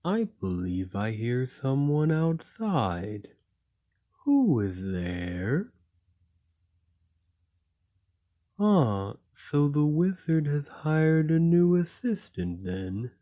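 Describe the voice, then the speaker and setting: Man performing a gentle imprisoned golem, ASMR roleplay, mellow tone, very expressive pitch, formal and polite, lonely. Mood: polite, wistful. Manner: formal, measured, courteous, no contractions.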